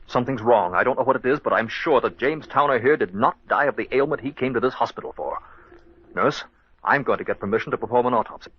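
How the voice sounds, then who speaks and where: A man playing an earnest, determined hospital doctor in an old radio mystery, with a grave, deliberate, theatrical delivery. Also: mid-range voice, breathy edge, natural voice. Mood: grave, suspicious. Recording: dull lo-fi old radio recording.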